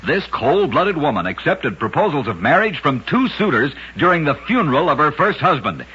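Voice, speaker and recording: A male radio storyteller with a forceful, very raspy voice. He reads scripted oddities dramatically and deliberately, his pitch moving in lively swings. The recording is dull, like an old broadcast. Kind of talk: scripted narration of short oddity stories, vintage radio.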